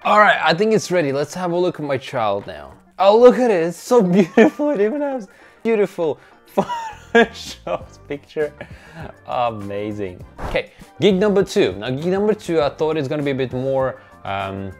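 A man's voice: fairly high pitch, sing-song, normal speed, soft background music. A young man with a Russian accent, a native Russian speaker, narrating his own vlog in a casual, upbeat and self-mocking way, recorded on a lapel mic in a small bedroom. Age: young adult. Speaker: vlogger. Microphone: clip-on lapel mic.

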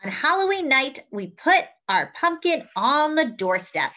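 A young woman reading a picture book aloud to children with animated, expressive delivery. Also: high-pitched, a little slow. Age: young adult. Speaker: children's story-time reader. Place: small room indoors at home. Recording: slightly dull webcam audio.

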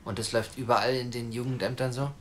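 A man's voice: medium pitch, little pitch movement, fast, a bit bright, slight background noise, close to an everyday voice. An actor playing an earnest, polite but frustrated young male adoption applicant in an audio drama, with a hesitant, conversational manner. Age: young adult. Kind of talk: naturalistic audio drama acting.

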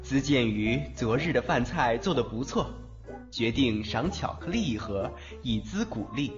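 Man playing a lively, teasing schoolboy over music. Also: mid-range voice, a little slow, muffled recording, natural voice. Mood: playful teasing. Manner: teasing, affectionate. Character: brash, teasing, doting teenage schoolboy. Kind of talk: Mandarin audio drama acting. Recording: band-limited, background music throughout.

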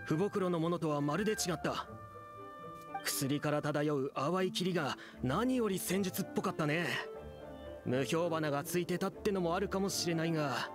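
A man's voice: fairly high pitch, normal speed, over music, close to an everyday voice. A man playing an earnest, gullible villager who is an awestruck believer, in anime game acting. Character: fervent male villager, gullible devoted believer.

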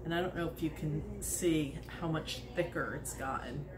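A woman's voice: low voice, moderate pace. A woman in her sixties hosting a casual home cooking demonstration in her kitchen, chatty and rambling, laughing often, recorded on a nearby phone. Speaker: home cooking video host. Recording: echoey kitchen with background noise.

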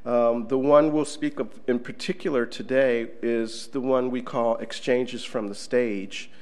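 A man's voice: medium pitch, fairly even intonation, a little slow, a little mellow. A middle-aged man giving a conference presentation in a casual, conversational way, with fillers, at a table microphone in a conference room. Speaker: conference speaker.

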